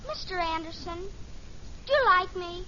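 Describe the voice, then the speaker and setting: A woman's voice: very high-pitched, lively intonation, moderate pace, sharp tone, exaggerated voice. A performer playing an earnest, eager little American boy in a 1950s radio sitcom, with a childlike, plain-spoken delivery. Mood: wistful, earnest. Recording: muffled, very noisy vintage radio recording.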